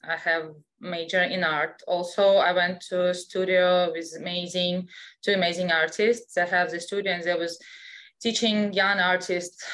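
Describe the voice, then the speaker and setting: A woman's voice: fairly low pitch, a bit bright. A woman with a Russian accent, a guest on an interview show, chatting casually with lots of fillers and restarts. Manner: chatty, many fillers and restarts, non-native grammar. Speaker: guest, scientific illustrator.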